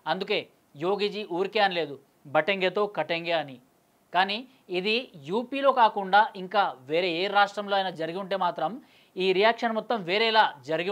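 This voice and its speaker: A woman presenting scripted news commentary, telling the story emphatically and mixing in English terms. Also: medium pitch.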